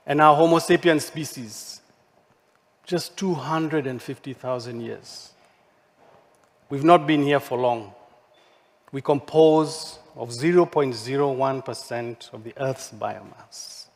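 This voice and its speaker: Slow, measured man speaking English as a second language. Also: mid-range voice. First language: not English. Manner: slow, deliberate, rhetorical questions. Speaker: architect giving a keynote talk. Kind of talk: measured poetic lecture. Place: large hall at a forum. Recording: long hall reverb, stage mic.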